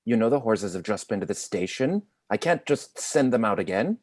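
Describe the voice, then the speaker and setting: A woman playing a gruff, stubborn male estate manager, blunt and dismissive, in a play reading over a video call. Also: extremely low pitch, lively intonation, moderate pace, darkish tone, no echo, clean studio sound. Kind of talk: cold read of a classic stage play.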